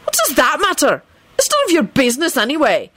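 Woman as incredulous young man, high and excitable. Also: unhurried, no echo. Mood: disbelieving. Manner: disbelieving, exclamatory.

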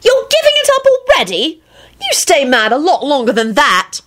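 Shrill, screeching young drama queen. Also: wildly swooping pitch, moderate pace, fairly bright tone, full character voice. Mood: indignant. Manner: screechy, wailing, whiny. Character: shrill, squealing, dramatic young woman, easily outraged.

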